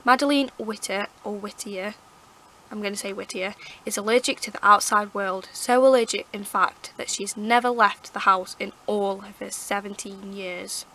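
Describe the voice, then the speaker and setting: A woman with a croaky, hoarse throat, rambling chattily as she reviews her favourite books to camera. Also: medium pitch, fairly even intonation, normal speed, pushed and bright, poor audio. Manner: rambling, chatty, lots of fillers and restarts. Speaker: book vlogger. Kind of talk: casual book review chat. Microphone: camera mic.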